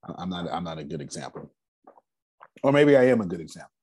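A man in his forties giving a casual, energetic finance seminar talk, asking rhetorical questions and answering them himself. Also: low voice, fast, slightly rough, no room sound. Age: 40s. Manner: loose, energetic, repeats key points. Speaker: finance speaker.

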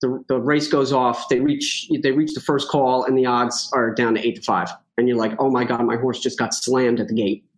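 A man's voice: medium pitch, flat delivery, moderate pace, hardly any pauses, rough recording. Rambling man guesting on a podcast. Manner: rambling, lots of fillers and restarts, self-joking. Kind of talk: casual chat.

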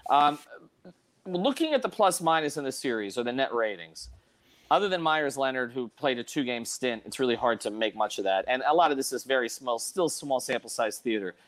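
A man's voice: mid-range voice, animated, a bit bright. A man hosting an unscripted sports panel, often repeating words as he works toward his point. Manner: halting, repeats words as he thinks. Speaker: host of a sports panel show.

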